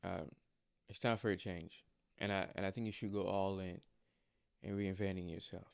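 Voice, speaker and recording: A man's voice: sits low, fairly even intonation, normal speed, creaky, smooth, dry recording, clean studio sound. A young man from Congo, whose first language is not English, speaking in a motivational personal vlog, earnest and hesitant with many fillers and restarts. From Democratic Republic of the Congo. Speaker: vlogger.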